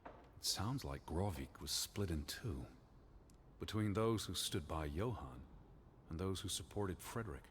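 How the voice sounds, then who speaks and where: An actor playing a stern, learned 1920s American naturalist in a video game, earnest and skeptical, speaking precisely and formally. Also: male voice, deep voice, animated, measured pace, a little hiss or hum. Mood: grave, thoughtful.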